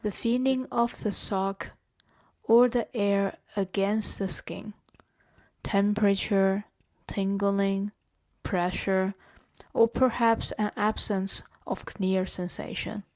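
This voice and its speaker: A woman leading a guided body scan meditation in a gentle, measured, instructional way. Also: medium pitch, steady tone. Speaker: meditation guide and therapeutic coach.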